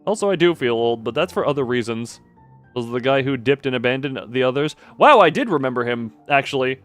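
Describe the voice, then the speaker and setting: A man gives casual live commentary on a game he is streaming. His voice sits at a middle pitch and he rambles and reacts in an animated way, with faint game music underneath. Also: a little fast.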